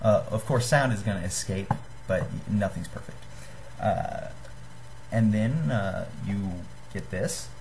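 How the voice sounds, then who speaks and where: A young man giving a casual how-to demonstration to a webcam in a small room, halting and apologetic. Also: lowish voice, vocal fry, a little mellow, a little hiss or hum, low-quality recording. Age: young adult. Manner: halting, apologetic, self-deprecating. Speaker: hobbyist giving a homemade gadget tutorial. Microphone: webcam or computer microphone at desk distance.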